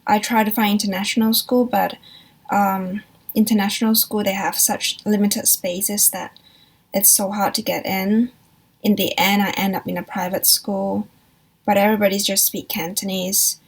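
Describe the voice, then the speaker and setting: A young woman from Hong Kong, a university student, talking softly and hesitantly in a personal confessional vlog, pausing and restarting as she reflects. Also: mid-range voice, flat delivery, husky. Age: young adult. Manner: soft, hesitant, reflective, with frequent pauses and restarts. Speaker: vlogger.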